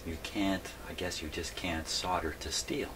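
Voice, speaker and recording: A man's voice: deep voice, fairly even intonation, normal speed. A man thinking aloud in a rambling, folksy way as he casually narrates a hands-on repair vlog from his workshop. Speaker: hobbyist host repairing vintage radios. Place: indoor radio workshop. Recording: clear background noise.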